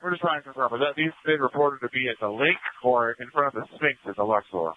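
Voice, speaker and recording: A male police air crew member talks quickly over the radio. His medium-pitched voice comes through dry and very narrow, like a phone line. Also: no echo. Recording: tinny, narrow-band police radio transmission.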